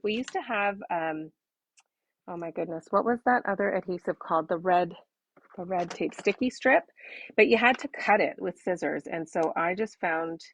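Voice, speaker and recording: Slightly hoarse woman, lower-pitched, chatty. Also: dry recording. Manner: chatty, informal, self-deprecating asides. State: slightly hoarse, tired-sounding voice. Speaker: craft tutorial host. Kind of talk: casual live demonstration with step-by-step instruction.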